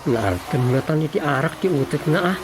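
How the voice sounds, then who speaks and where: A man's voice: on the high side, expressive, a little fast, close to an everyday voice. Gossiping Ilocano villager played by a man, music behind. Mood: confiding. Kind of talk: Ilocano radio drama acting. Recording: radio drama with loud music bed.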